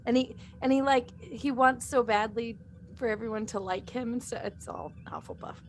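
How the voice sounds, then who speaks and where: A woman's voice: fairly high pitch, normal speed, slight background noise. A woman in a casual group chat, reading and answering fan questions in a playful way.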